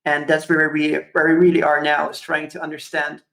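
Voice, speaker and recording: A man's voice: a little high, monotone, a little fast, vocal fry, sharp tone. Young man from Curaçao presenting to a conference, thoughtful and filler-heavy, echoey room. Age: 20s. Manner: thoughtful, many ums and kind-ofs. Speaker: conference speaker. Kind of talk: presentation talk. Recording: roomy echo.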